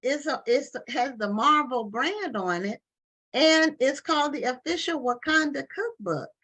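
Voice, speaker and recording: A woman's voice: high voice, expressive, a little slow, bright, forceful tone. A woman hosting a live online book show, chatty and loose with restarts and fillers, talking over a video call. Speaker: talk show host.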